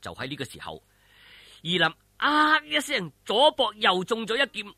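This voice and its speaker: A high-voiced man with a Hong Kong Cantonese accent tells a martial arts tale theatrically. His voice is very sharp and forceful, with a husky edge, and he keeps the suspense up in colloquial language. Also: sing-song, normal speed, dry recording, clean studio sound. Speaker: storyteller. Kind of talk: dramatic audiobook-style martial arts storytelling.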